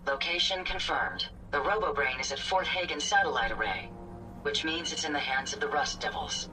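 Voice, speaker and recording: A woman playing a polite, analytical female robot companion in a video game, speaking in formal, measured, precise phrases. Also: low voice, wildly swooping pitch. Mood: grave, informative. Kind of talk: scripted video game dialogue. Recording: distant sound with game music behind.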